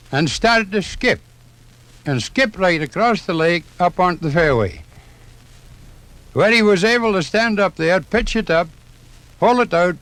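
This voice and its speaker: A man's voice: fairly high pitch, a bit bright, no echo, rough recording. An older man telling an anecdote as a radio guest. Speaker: retired golf club professional, guest. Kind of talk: anecdotal storytelling.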